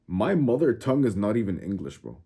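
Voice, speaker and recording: A man from Egypt whose first language is Arabic talks casually to his viewers, brash and emphatic. His voice sits low and comes out mellow with a husky edge. He leans hard on his points. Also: sing-song, fairly quick. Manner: emphatic, brash, keeps saying "bro". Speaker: self-improvement YouTuber. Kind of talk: casual direct-to-camera talk.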